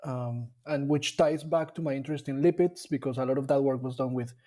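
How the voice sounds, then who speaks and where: Mellow-voiced man, thoughtful. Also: mid-range voice, steady tone, brisk. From Colombia. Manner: thoughtful, with frequent fillers and restarts. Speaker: dairy nutrition scientist guest. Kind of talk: casual interview conversation.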